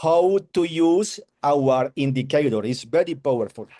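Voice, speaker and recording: Colombian guy, spanish first language, talks real slow, stops and searches for words, video call. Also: on the high side, animated, warm tone. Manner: hesitant broken English, searching for words. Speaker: webinar attendee giving a testimonial. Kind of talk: halting testimonial on a video call.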